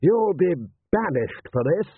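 A man's voice: high-pitched, animated, unhurried, husky, no room sound, distant mic, pitched above the performer's own voice, heavily acted. A man voicing an indignant, pompous lord in an audiobook, emphatic and outraged. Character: indignant, blustering male aristocrat lord. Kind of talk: audiobook character voice. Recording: narrow-band, phone-like sound.

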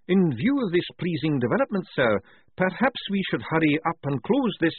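A man voicing an eager, fawning army general in a raised, hurried voice. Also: a little high, big pitch swings, breathy edge, dry recording, voice pushed up. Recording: dry, tinny phone-like sound.

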